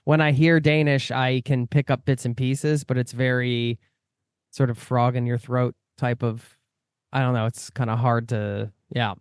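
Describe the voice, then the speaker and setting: A man's voice: mid-range voice, flat delivery, unhurried, clear, resonant tone, no echo, clean studio sound. A man from the United States hosting a travel podcast, chatting casually and laughing often. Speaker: podcast host. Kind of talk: casual interview chat.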